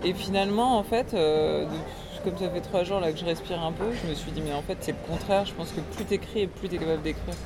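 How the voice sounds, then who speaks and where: A woman's voice: fairly low pitch, fairly quick, hardly any pauses, over loud music. A woman musician and radio host giving a casual interview, thinking aloud with many fillers and restarts. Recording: noisy street recording.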